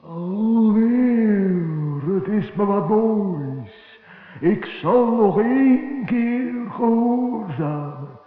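Weird hollow ghost from a bottle, draggy slow taunting, big reverb, tinny old kids radio play. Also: male voice, sits high, expressive, full character voice. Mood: impatient grumbling. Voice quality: hollow, strange. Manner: drawn-out, sing-song, mocking. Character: hollow-voiced, impatient, mischievous male bottle spirit. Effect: echo. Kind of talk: 1960s children's radio play acting.

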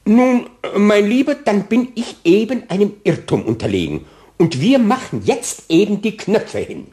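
A man's voice: high voice, very animated, a little slow, mellow. A man playing a fussy, pompous, demanding customer who talks in stilted roundabout phrases in a German children's radio play. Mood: fault-finding.

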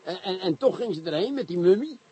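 A man playing a grumbling, sceptical police commissioner in a radio drama, husky and fussily exasperated. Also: high-pitched, animated, fairly quick, mellow, heavily acted. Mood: argumentative. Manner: fussy, exasperated, hesitant. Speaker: actor.

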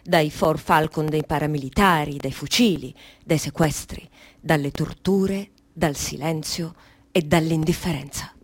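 A woman acting in a radio drama, reciting gravely and solemnly in short, measured phrases. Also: low-pitched.

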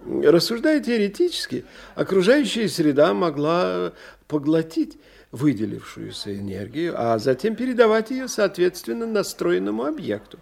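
A learned older professor in an audio drama. His voice is slightly high and husky, swinging widely as he lectures. He explains things theatrically and talks down to younger company, with music around him. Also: big pitch swings, moderate pace, full character voice. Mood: expounding, patronizing. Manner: didactic, theatrical, condescending to his young friend. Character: animated, learned older professor investigating psychic phenomena.

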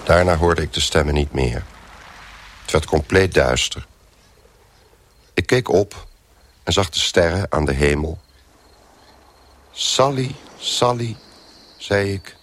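A man's voice: low voice, lively intonation, takes it slowly, long pauses, full character voice. An actor playing a dazed, lonely, dying man turned into a lobster, narrating in a brooding monologue for a radio play. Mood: forlorn. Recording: faint background noise.

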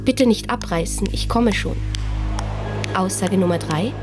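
A woman's voice: mid-range voice, a little slow, music in the background, natural voice. A woman playing a gushing, hyped-up rock radio host, chatting chummily. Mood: playful hype. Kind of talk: excited radio show presenting.

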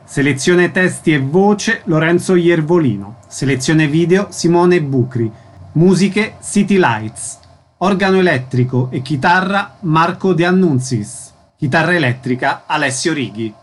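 A man's voice: fairly high pitch, expressive, a little slow. A man announcing credits and introducing items as a radio host.

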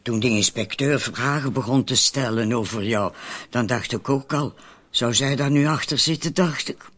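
An actor playing a wary, needling woman in a radio drama, with a husky voice. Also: medium pitch, normal speed, a bit bright, natural voice. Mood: wary, anxious. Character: wary, needling woman named Marilyn, accomplice in poisonings.

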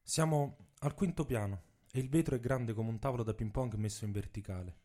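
A man's voice: medium pitch, a little fast, warm tone. A man reading his own literary text aloud as dramatic narration.

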